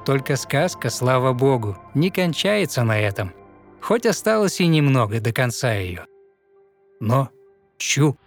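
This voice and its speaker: A man narrating a fairy tale in verse with measured, theatrical, expressive recitation. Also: medium pitch, over music.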